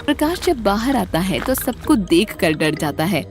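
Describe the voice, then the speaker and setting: A woman's voice: medium pitch, very animated, unhurried. Woman storyteller reading a scripted tale, mid voice, music underneath. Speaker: storyteller, narrator.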